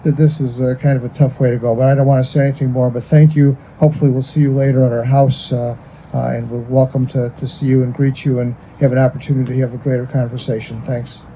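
An older man with an American accent giving an informal eulogy in a halting, conversational way outdoors. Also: medium pitch, rough recording. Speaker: funeral eulogist. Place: outdoors under a cemetery tent. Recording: steady outdoor background noise.

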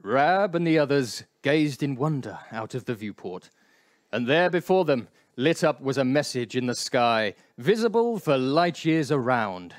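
A man's voice: mid-range voice, big pitch swings, unhurried, dry recording, clean studio sound. A man narrating a comic live radio play in a playful, emphatic storybook manner.

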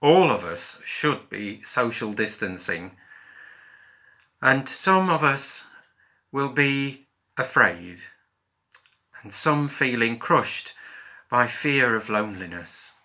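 Bright, slow-paced British minister. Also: male voice, medium pitch, lively intonation. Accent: British English. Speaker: Methodist minister. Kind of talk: leading worship, prayer. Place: small living room at home.

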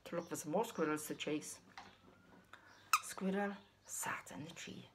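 A middle-aged woman telling a puppet story to small children, with drawn-out, expressive phrasing. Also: lowish voice, in a whisper. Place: small living room, indoors.

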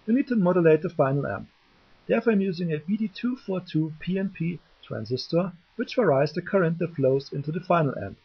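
A man gives a methodical technical explanation, halting slightly as he goes. His voice sits a little high for a man and is gravelly. The recording is dull and lo-fi, as from a cheap microphone. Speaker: electronics hobbyist presenter. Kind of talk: explanatory tutorial narration. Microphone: cheap DIY microphone, voice-over.

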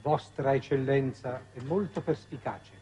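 An actor playing a smug, coolly ruthless military colonel in a 1960s television drama, on a flawed old recording. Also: male voice, mid-range voice, fairly even intonation, unhurried, warm tone, natural voice. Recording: muffled, noisy old television soundtrack.

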